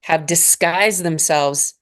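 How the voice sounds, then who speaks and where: A woman in her forties from Northern Alberta, a spiritual mentor speaking as a guest in a slow, measured, reflective way. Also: deep voice. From Northern Alberta, Canada. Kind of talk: reflective interview conversation.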